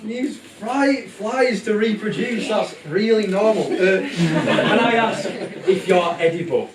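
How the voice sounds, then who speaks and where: A man's voice: high-pitched, slow, a loud crowd. A man narrating a live puppet show in a comic, theatrical style, playful and exclamatory. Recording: very noisy, roomy, distant live bootleg.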